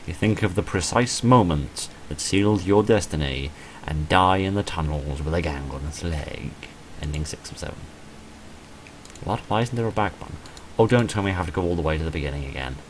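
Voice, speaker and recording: A man's voice: low-pitched, a little fast, a little hiss or hum, low-quality recording. A man reading visual novel game text aloud, mixed with casual, self-deprecating commentary.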